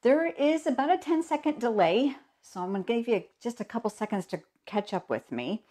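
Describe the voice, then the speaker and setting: A woman's voice: a little high, animated, a little fast, warm tone. A woman with a cold hosts a casual live stream, chatting along, greeting viewers by name, her voice a little froggy. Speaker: host of a live stamping show.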